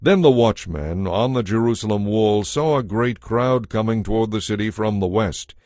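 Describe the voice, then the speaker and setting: A man's voice: on the low side, moderate pace, a little mellow, dry recording, studio quality. A man giving a solemn, scripted dramatic narration of scripture as storyteller.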